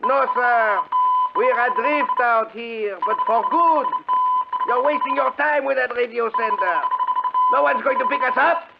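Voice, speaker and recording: High-pitched, taunting racketeer, old radio. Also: male voice, unhurried, vocal fry, heavily acted. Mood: jeering, manic. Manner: mocking, jeering, laughter-prone. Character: taunting, half-crazed castaway racketeer nicknamed Doc. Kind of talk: 1950s radio drama acting. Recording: noisy muffled old radio recording.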